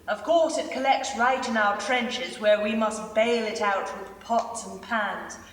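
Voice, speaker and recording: A woman playing a plainspoken British soldier writing to a friend, emphatic and expressive in reader's theater style. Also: a little high, unhurried. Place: large indoor theater stage. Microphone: far camera. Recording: echoey theater stage, distant, faint noise.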